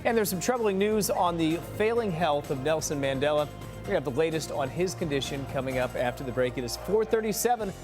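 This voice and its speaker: An American man anchors a morning newscast in a fairly high voice. He reads in a broadcast news style, with faint music underneath. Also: expressive, a little fast.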